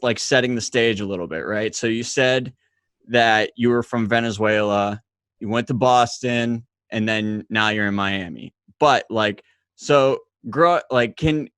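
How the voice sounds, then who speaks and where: A man's voice: mid-range voice, a bit bright, no echo, clean studio sound. A man hosting a podcast, talking in a loose, enthusiastic way with casual fillers. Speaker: podcast host. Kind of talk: casual interview chat.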